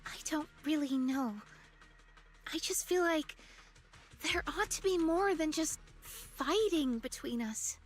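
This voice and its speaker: A woman's voice: high-pitched, takes it slowly, soft background music. A woman voicing an earnest young girl adventurer in a game story, thoughtful and a little hesitant. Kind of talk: voiced game story dialogue.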